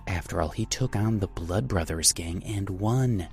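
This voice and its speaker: A man's voice: deep voice, a little slow, creaky, a little mellow, faint music behind. A man voicing a worried young woman who pleads anxiously with her brother. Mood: worried, pleading. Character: worried protective young woman, his sister.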